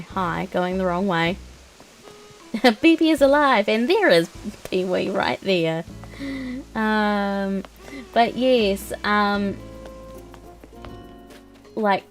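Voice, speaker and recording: A young woman, chatting casually on a live stream while she plays a game. She rambles, with lots of ums and likes, and sounds a bit sniffly from a cold. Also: medium pitch. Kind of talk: casual chat while playing a video game.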